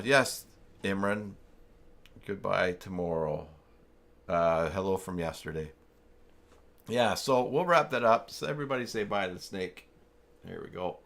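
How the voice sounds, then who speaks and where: Low-voiced man rambling on a livestream. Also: fairly bright tone. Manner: rambling, muttering through thoughts. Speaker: livestream host. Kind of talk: casual livestream chat, thinking aloud.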